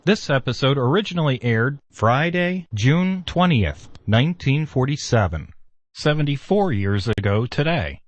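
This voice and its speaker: Male radio announcer with a lively delivery. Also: medium pitch, measured pace, dry recording. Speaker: radio announcer for an old-time radio network. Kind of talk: scripted radio continuity announcing. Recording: slightly dull, dry studio recording.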